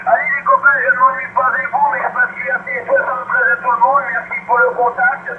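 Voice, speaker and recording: A man's voice: extremely high pitch, fast, phone-quality sound. A man chatting casually as a CB radio operator, heard over radio reception. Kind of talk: casual radio contact chat. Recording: noisy, echoing CB radio reception.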